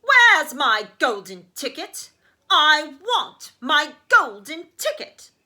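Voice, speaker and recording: A woman voicing a spoiled little rich girl who shrieks her demands in drawn-out words in a storybook. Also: high voice, big pitch swings, pushed and bright, pitched above the performer's own voice, exaggerated voice. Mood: demanding tantrum.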